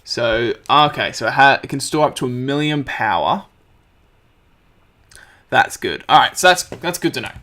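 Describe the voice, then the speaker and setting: A man with a slightly croaky voice, casually commenting on his gameplay and thinking aloud as he crafts. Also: medium pitch, expressive, moderate pace, long pauses, sharp tone. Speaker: let's play host.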